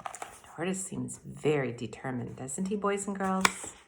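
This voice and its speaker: Slow-paced woman teacher reading to children. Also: low voice, expressive, vocal fry, noisy background. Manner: deliberate, addresses children directly with questions and asides. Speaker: elementary school teacher reading aloud. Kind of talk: expressive children's read-aloud and mini lesson.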